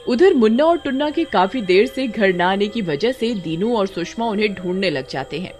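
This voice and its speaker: A woman narrates an animated horror tale from a script. Her voice sits at a middle pitch, with music underneath. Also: normal speed. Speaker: story narrator.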